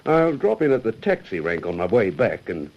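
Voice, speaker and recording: Lively, low-voiced police sergeant. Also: male voice, normal speed, creaky. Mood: businesslike. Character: thoughtful, concerned male police sergeant in charge. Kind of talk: radio drama acting. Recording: muffled old radio recording, faint noise.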